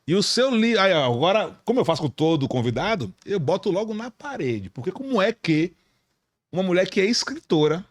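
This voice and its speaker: Podcast host, male, Bahian Brazilian accent, rapid and blunt, slangy street talk. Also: sits high, very animated, no echo. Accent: Bahian Brazilian Portuguese. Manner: blunt, slangy, emphatic, peppered with swearing. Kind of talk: informal podcast conversation.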